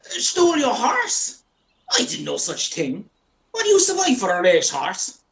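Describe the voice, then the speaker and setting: A woman's voice: medium pitch, huge pitch swings, a little slow, gravelly, heavily acted. A woman with an Irish accent playing a cocky, roguish male golfer in an amateur radio play. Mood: flustered, blustering. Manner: blustering, chatty, theatrical. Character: cocky, roguish male golf club man, storyteller.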